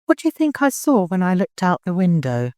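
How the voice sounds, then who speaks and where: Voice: medium pitch, wildly swooping pitch, fairly quick, darkish tone, dry recording, studio quality, voice pushed up. A narrator voicing an older woman, a devoted nurse who scolds and frets in urgent exclamations. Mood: anxious questioning.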